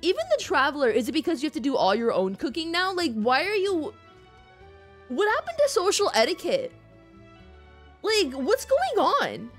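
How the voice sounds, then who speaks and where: High-voiced woman streamer reacting to a game. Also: very animated, moderate pace. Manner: slangy, emotive reactions. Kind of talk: casual game livestream commentary. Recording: game music behind voice. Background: game music.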